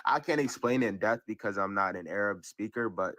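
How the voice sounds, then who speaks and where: A man's voice: lowish voice, fairly quick, a bit bright, slight background noise. A man debating religion who hesitates, stumbles over his words and gets defensive when pressed. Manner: hesitant, many fillers and restarts, defensive.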